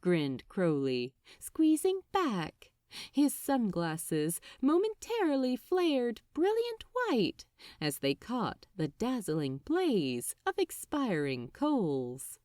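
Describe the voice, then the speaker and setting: A woman narrates fiction in a theatrical storybook style. Her pitch swings dramatically and she phrases slowly and deliberately. The recording is very dry, with hardly any room sound. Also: huge pitch swings, a little mellow, no echo.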